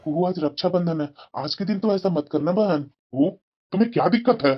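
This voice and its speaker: A woman's voice: fairly low pitch, lively intonation, a little fast, soft background music. A woman voicing a placating, reasoning husband and brother in a family cartoon drama. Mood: pleading. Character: placating adult man, husband and protective brother. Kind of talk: Hindi family drama storytelling. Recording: dull, lo-fi sound.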